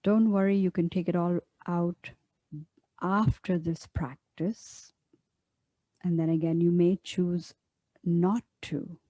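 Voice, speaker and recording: A woman leading a guided meditation as a teacher, speaking in a gentle, soothing, unhurried way with long pauses. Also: on the low side, fairly even intonation, dry recording.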